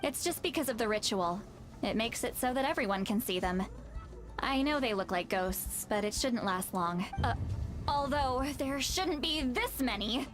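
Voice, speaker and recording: Woman voicing blunt female game shaman, fairly high voice, grave, authoritative, explanatory, faint music underneath. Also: expressive, normal speed, lightly acted. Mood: reassuring. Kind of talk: video game voice acting.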